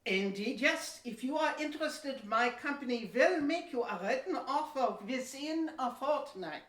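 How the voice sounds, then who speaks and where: Woman playing slow, formal colonial trade agent. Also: sits high, expressive, a bit bright. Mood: courteous, businesslike. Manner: formal, deliberate, measured delivery. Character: businesslike Dutch trading company agent, male, courteous and persuasive. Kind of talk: amateur historical audio play acting. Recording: roomy echo, distant from mic.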